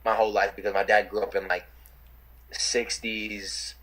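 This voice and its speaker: Young new guy on a video call, kinda husky, lots of "like" and restarts. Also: lowish voice, flat delivery, normal speed, fairly bright tone. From New York City. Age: young adult. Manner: rambling, lots of fillers and restarts. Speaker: interviewee, rapper. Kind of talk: casual chat.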